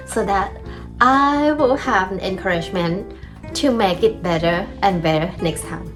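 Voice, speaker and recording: Chatty Thai-accented woman teaching. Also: mid-range voice. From Thailand. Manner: cheerful, chatty, laughs often. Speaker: language teacher vlogger. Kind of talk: casual video lesson.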